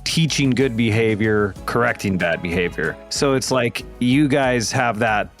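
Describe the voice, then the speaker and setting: A man's voice: medium pitch, expressive, measured pace, faint music behind. A middle-aged man hosting an interview in an informal, conversational and affirming way. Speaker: podcast host.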